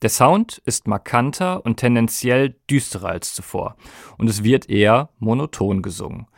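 A man's voice: medium pitch, moderate pace, gravelly, no room sound, studio quality. A man presenting a scripted podcast documentary in an explanatory way, speaking directly to listeners. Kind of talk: scripted music documentary narration.

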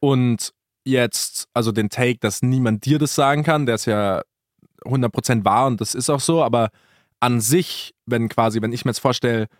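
Young man, twenties, medium-pitched voice, colloquial podcast conversation, English loanwords, dry sound, co-host. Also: normal speed, no echo. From Germany. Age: early twenties. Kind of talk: casual conversational podcast chat.

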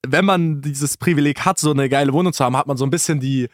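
A man's voice: on the high side, fast, no echo. Casual young male co-host, dry close sound. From Germany. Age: early twenties. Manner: colloquial, peppered with English loanwords. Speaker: podcast co-host. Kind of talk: casual conversational podcast chat.

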